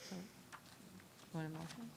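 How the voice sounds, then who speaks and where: A woman board member with a loud voice, speaking into a table microphone at a public meeting, hesitant and full of fillers. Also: moderate pace. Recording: table mic with background noise.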